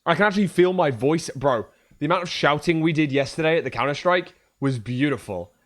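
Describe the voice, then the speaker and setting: A young man with a hoarse voice, chatting casually and joking on a livestream. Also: a little high, lively intonation, a little fast. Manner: joking, trash-talking, swears a lot. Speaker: streamer.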